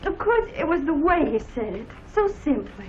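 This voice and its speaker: Casting: idealistic, effusive young woman, high voice, muffled vintage movie soundtrack. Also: big pitch swings, normal speed. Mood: excited, dreamy. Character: eager, idealistic young woman starting college. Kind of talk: old Hollywood film acting. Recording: muffled, noisy old film soundtrack.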